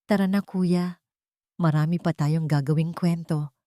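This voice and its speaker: A resilient younger sister played by a woman narrator speaks earnestly and tenderly. Her voice is low for a woman and recorded dry, as in a romantic audio drama. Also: steady tone, measured pace, dark tone, no echo. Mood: hopeful.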